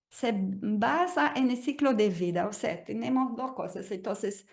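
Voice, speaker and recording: Slow, hesitant woman presenting on a video call. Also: on the high side. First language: Portuguese. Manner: hesitant, many fillers and restarts. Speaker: guest speaker. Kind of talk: webinar presentation.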